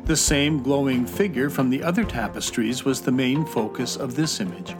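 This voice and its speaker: A man narrating a children's fantasy audio drama in a measured, descriptive way. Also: mid-range voice, music in the background. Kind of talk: scripted storybook narration.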